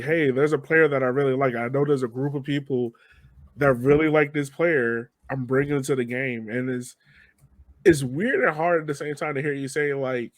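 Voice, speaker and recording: A man's voice: mid-range voice, no echo. A man hosting a casual podcast conversation, agreeable and reflective, with long, wordy questions and replies. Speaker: podcast host.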